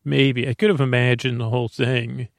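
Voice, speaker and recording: A man hosting a bedtime podcast in a creaky, lulling voice, meandering and halting as he interrupts himself. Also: medium pitch, level tone, no room sound, clean studio sound. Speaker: podcast host. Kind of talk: rambling bedtime monologue.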